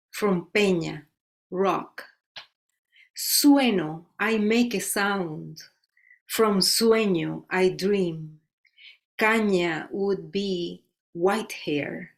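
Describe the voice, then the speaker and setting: A woman from Colombia, reading her own poetry in a slow, measured recital and slipping in words. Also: fairly low pitch. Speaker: poet.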